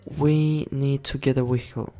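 A man giving casual commentary over a management game, excitable, swearing and yelling orders at his units. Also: medium pitch, moderate pace, gravelly, soft background music. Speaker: gaming channel host.

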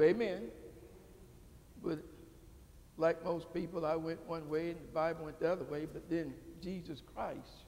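An older man preaching a sermon from a church pulpit into a podium microphone, building from quiet talk into fervent, rising calls to the congregation. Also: fairly high pitch. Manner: preaching with rising call-and-response intensity. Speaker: pastor. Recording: church hall reverb.

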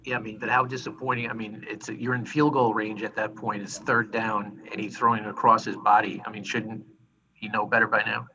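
Bright-voiced skeptical male reporter. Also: fairly low pitch, flat delivery, brisk, highs cut off. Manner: probing, skeptical questioning. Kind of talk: pointed press questions.

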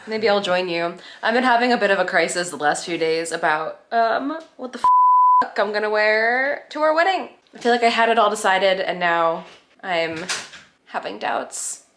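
A woman around her thirties chatting casually to camera in a playful, expressive way, stretching words for emphasis. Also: mid-range voice, moderate pace, slight background noise. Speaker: vlogger. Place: apartment living room. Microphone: camera at arm's length.

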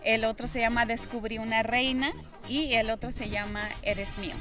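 A woman's voice: a little high, fairly even intonation, normal speed. A woman singer raised in Zacatecas, chatting cheerfully in an interview at an outdoor fair, with a Mexican Spanish accent. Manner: warm, cheerful, laughing easily. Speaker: singer guest. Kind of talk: casual interview chat. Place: outdoor ranch fair, cold day. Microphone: host's handheld mic. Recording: music playing behind.

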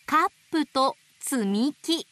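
A woman reading picture books aloud to babies in a gentle, sing-song way, dwelling on playful sound words. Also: sits high, animated, slow, no echo. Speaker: storybook narrator voicing an owl. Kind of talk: children's picture book read-aloud.

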